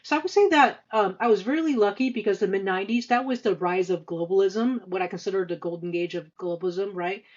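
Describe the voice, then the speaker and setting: Halting woman, dull audio. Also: medium pitch, darkish tone. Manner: halting, hesitant delivery with frequent stammered repeats and long filler runs. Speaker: podcast guest, senior finance executive. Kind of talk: conversational interview answers, career recollection.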